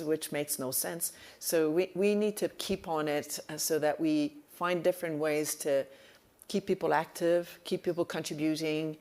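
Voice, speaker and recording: A woman's voice: low voice, darkish tone. A woman moderating a conference panel, speaking thoughtfully with frequent fillers and restarts.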